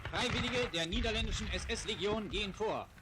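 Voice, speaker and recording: A man's voice: high-pitched, moderate pace, heavy background noise. A man reads scripted wartime newsreel narration in a formal, declamatory announcer style, on a 1940s film soundtrack. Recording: 1940s newsreel soundtrack, loud music behind. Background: loud music.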